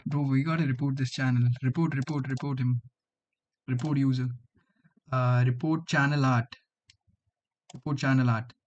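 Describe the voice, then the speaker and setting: A man's voice: mid-range voice, steady tone, normal speed, long pauses, slight background noise. A man in his twenties from Delhi with an Indian accent and Hindi as his mother tongue, chatting casually and slangily with livestream viewers. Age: 20s. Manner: slangy, bantering, often says bro. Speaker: livestream host, student coder.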